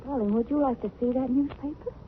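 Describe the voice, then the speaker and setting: A woman's voice: sits high, fast talker, lightly acted. An actress playing an earnest, insistent young lady, companion to a detective, in a 1940s radio drama. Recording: tinny, noisy 1940s radio recording.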